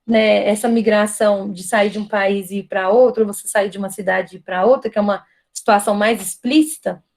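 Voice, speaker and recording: A woman's voice: medium pitch, fairly even intonation, a little fast, vocal fry, a little mellow. A Brazilian woman giving an online university lecture, talking thoughtfully with frequent tag questions and restarts. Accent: Brazilian Portuguese. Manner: thoughtful, many "né?" tags and restarts. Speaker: guest lecturer.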